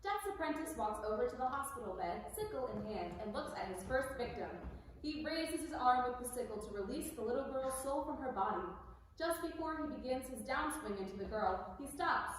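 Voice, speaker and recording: A woman's voice: high voice, expressive, a little fast. A woman narrator reading scripted stage directions for an amateur drama. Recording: very echoey room, distant microphone, faint noise.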